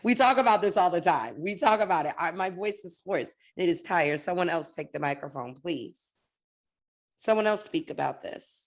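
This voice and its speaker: A woman hosting a podcast interview, animated, quick to agree and talking in bursts. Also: on the low side. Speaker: podcast host.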